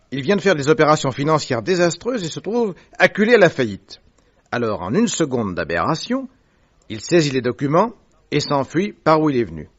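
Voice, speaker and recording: Here is a man performing a commanding detective in an old-style radio play. He speaks crisply and sure of himself, letting his pitch rise and fall with every point. The sound is dull and lo-fi, like an old radio recording. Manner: crisp, assured, explains step by step. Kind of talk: radio drama acting.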